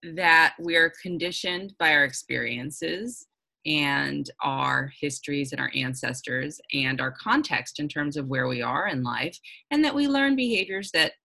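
A woman's voice: low voice, unhurried, creaky, sharp tone. A woman hosting a reflective conversation, thinking aloud with many fillers. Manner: thoughtful, searching, with many fillers.

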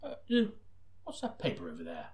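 A man's voice: on the high side, wildly swooping pitch, a little fast, vocal fry, a little mellow, slight background noise, full character voice. A man playing an exasperated audiobook reader, chatting casually and doubtfully between takes. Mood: puzzled, reluctant.

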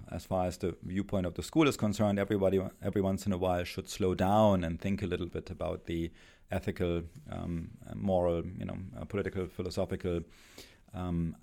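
A middle-aged man with a German accent, a philosophy professor speaking as a guest, halting and thoughtful with many pauses and restarts. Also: sits low, vocal fry, a little mellow. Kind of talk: thoughtful academic conversation.

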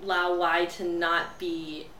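A woman's voice: on the low side, level tone, takes it slowly, bright, forceful tone. A woman answering interview questions in a rambling way, full of "like". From United States. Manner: rambling, lots of "like". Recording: distant mic in echoey room with ambient noise.

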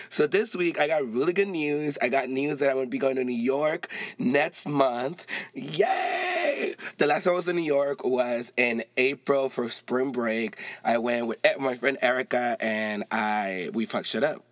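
A young man hosting his own podcast in casual, excitable chat, swearing freely. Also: medium pitch, no room sound. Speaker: podcast host.

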